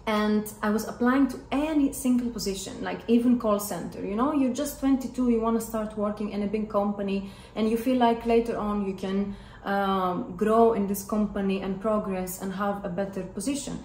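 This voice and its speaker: A woman in her twenties with a Greek accent talks casually to camera in a vlog. Her voice is mid-pitched. It is recorded in an echoey home room, with noise clearly behind her. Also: steady tone, normal speed, a little mellow. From Greece. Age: 20s. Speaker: vlogger and former flight attendant. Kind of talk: casual personal storytime to camera. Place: hard-floored living and dining room at home. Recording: roomy echo with clear background noise.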